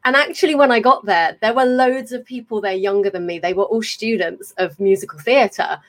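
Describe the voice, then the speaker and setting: A woman, hosting a casual chat over a video call, talkative and quick to laugh and swear. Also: medium pitch. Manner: chatty, swears freely, laughs often. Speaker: podcast host. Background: faint fan hum.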